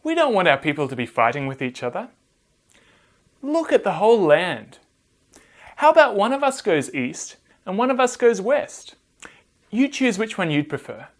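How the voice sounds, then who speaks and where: A man's voice: high-pitched, very animated, normal speed, pauses often. A man voicing an earnest, humble old man in a children's Bible story, with a hesitant, conciliatory manner.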